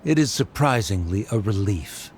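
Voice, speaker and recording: A man's voice: lowish voice, animated, moderate pace, noisy background, close to an everyday voice. A man playing a haughty, grudging scholarly father in a video game, formal and disdainful. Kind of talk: scripted game voice acting.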